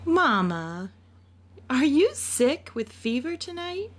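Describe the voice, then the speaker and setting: A woman's voice: high voice, slow pace, voice pushed up, full character voice. A woman voicing an eager, insistent little girl in an amateur audiobook reading. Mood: concerned pleading. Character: excited, eager little daughter pleading with her mother. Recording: clear steady background noise.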